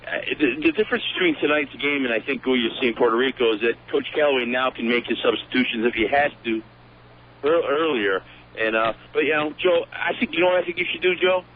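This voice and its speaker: A man's voice: mid-range voice, fast talker. Man, sports radio commentator, chatty, joking, stumbles over words, tinny phone-line sound, faint hum. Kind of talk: casual radio chat.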